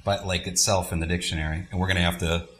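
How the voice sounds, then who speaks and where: Male co-host, low-ish bright voice, easygoing, reads listener mail. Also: fast talker, slight background noise. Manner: relaxed, reads letters aloud with small stumbles. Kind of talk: casual language-lesson chat.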